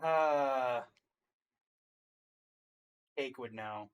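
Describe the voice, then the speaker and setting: A man's voice: medium pitch, drawn-out words, clear, resonant tone. A man co-hosting a reaction stream in casual commentary, with a dry, teasing way of talking.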